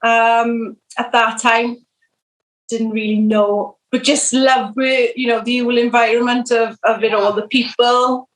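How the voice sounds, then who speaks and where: Welsh woman guest, highish voice, meandering storyteller, roomy echo on a video call. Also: flat delivery, unhurried. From South Wales. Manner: rambling storyteller with many ums. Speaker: podcast guest. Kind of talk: telling her faith testimony. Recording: echoey room over video call.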